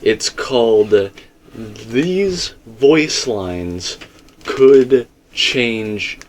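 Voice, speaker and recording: A man's voice: mid-range voice, lively intonation, very slow, a little hiss or hum. A man hosting a casual fan discussion, explaining things informally and speaking straight to the viewers. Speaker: host of a fan theory discussion.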